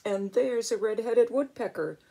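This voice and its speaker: An older woman, a grandmother, reading a picture book aloud in an expressive way and chatting to a young child with little exclamations. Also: fairly high pitch, moderate pace, gravelly, husky. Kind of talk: storybook read-aloud with chatty asides to a child.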